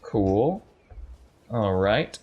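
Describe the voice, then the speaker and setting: A man's voice: on the low side, animated, drawn-out words, darkish tone, muffled recording. A man running a tabletop role-playing game as game master, encouraging and affirming his players. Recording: noticeable background noise.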